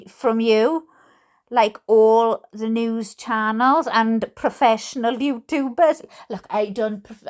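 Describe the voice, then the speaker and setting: Female Welsh vlogger, high voice, very leisurely delivery; reads aloud expressively and chats informally with playful humour. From Wales. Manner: jokey, self-deprecating, giggly, expressive. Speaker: YouTube host reading letters aloud. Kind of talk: casual reading aloud with chatty asides.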